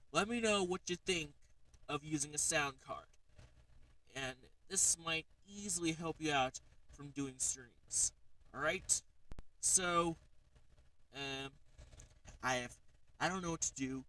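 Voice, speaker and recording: A man's voice: a little high, takes it slowly, long pauses, pushed and bright, distant mic, poor audio. A man hosting a casual livestream, rambling with lots of fillers as he shows off a gadget. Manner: rambling, frequent fillers and "alright?" check-ins. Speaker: streamer.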